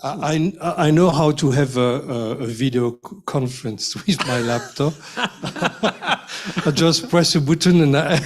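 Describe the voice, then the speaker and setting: A man's voice: medium pitch, measured pace. Hesitant man laughing at himself. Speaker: panelist. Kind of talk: casual panel chat.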